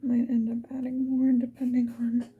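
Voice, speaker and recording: A woman's voice: on the high side, monotone, normal speed, slightly rough, narrow, tinny audio. A woman whispering breathily in a casual, rambling ASMR style, unhurriedly thinking aloud. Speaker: ASMR video creator.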